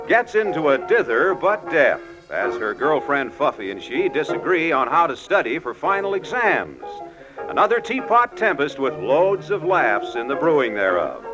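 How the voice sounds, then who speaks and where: A male American radio announcer with a fairly high, animated pitch reads in 1950s network style. The recording is muffled, with music playing behind him.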